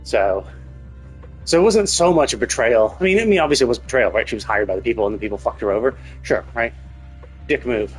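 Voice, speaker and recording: A man's voice: medium pitch, animated, quick pace, breathy edge, soft background music. A man giving casual, rambling live commentary as a game streamer, in an informal, conversational way. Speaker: livestreamer.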